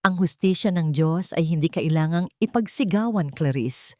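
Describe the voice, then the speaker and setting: A woman reading as a calm forgiving husband. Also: lowish voice, no room sound, clean studio sound, lightly acted. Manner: calm, measured.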